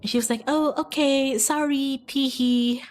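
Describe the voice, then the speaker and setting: A woman's voice: sits high, fairly even intonation, a little slow. A young woman from Indonesia, streaming and telling a rambling story about share-house life, full of "like" and restarts. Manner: rambling, filler-heavy, lots of "like". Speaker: VTuber streamer. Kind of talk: casual storytelling while gaming.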